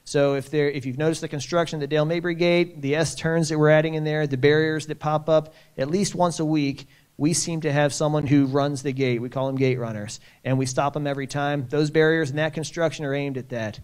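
Smooth-voiced man hosting a town hall. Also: a little high, fairly even intonation, fairly quick, a little mellow. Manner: plainspoken, reassuring, reads audience questions aloud. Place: conference center.

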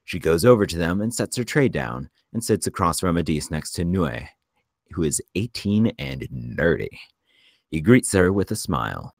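A man reading screenplay stage directions as narrator, in a measured, descriptive way. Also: low-pitched, sing-song, creaky, no echo, studio quality.

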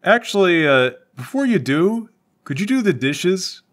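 A man's voice: sits high, sing-song, a little slow, voice pushed up. A man playing a glum, crooked slacker cop boyfriend in a tabletop game, with a hesitant, stammering delivery. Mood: glum, pleading.

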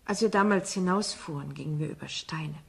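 Breathy, thoughtful woman. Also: low-pitched, a little fast, heavily acted. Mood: quietly earnest. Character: wistful, principled woman drawn to a foreign people. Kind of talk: radio drama dialogue.

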